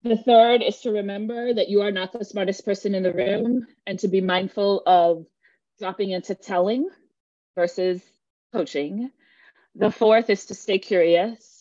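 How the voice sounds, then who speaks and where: A woman's voice: mid-range voice, steady tone, measured pace, mellow, no echo, muffled recording. A woman from Jamaica leading an online workshop, speaking earnestly and emphatically and often checking in with her listeners. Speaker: workshop facilitator. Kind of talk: teaching a live online workshop.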